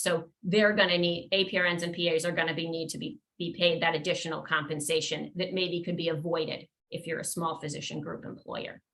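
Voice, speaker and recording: A woman attorney presenting a professional webinar, explaining points in a measured way with occasional fillers and restarts. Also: fairly low pitch, fairly bright tone, low-quality recording.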